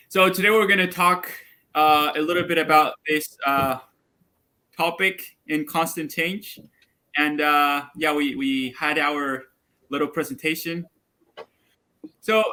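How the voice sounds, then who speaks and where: A man's voice: on the high side, pushed and bright. A young man from Argentina giving a casual group presentation on a video call, talking in an upbeat way with many fillers. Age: young adult. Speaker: student presenter.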